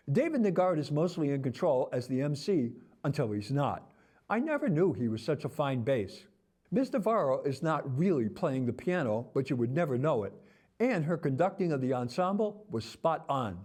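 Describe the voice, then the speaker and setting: Mellow, expressive man with a higher voice. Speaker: theater critic and reviewer. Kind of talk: scripted review narration.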